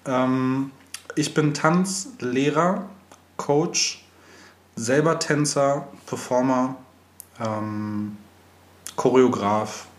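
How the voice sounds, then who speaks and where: A man's voice: mid-range voice, very slow, long pauses, echoey room. A man in his thirties from Berlin, a podcast guest chatting casually, thinking aloud with fillers and laughing easily. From Berlin, Germany. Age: 30s. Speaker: podcast guest, dance coach.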